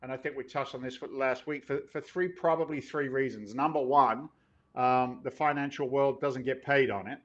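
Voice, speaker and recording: A man co-hosting a casual investing talk show, loud and blunt, swearing casually and punching his points with emphasis. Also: medium pitch, fairly even intonation, normal speed, muffled recording. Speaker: podcast co-host.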